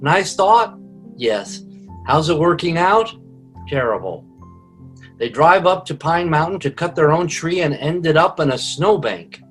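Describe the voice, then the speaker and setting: A man giving wry, scripted narration for a radio comedy, with deadpan asides. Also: a little high, a little slow. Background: music.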